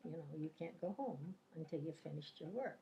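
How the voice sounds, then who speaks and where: A woman's voice: sits low, lively intonation, a little fast, mellow, lo-fi sound, slight background noise. An older woman, a retired teacher, reminiscing about her life in a casual interview, telling rambling stories full of fillers and restarts. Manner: rambling anecdotal storyteller, many fillers and restarts.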